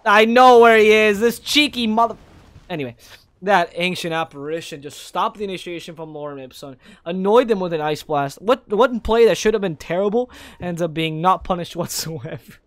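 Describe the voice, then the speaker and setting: A man giving play-by-play esports commentary, excitable and breaking into high shouts at big plays, with a naturally low voice he keeps higher for casting. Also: moderate pace, no room sound. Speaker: esports caster.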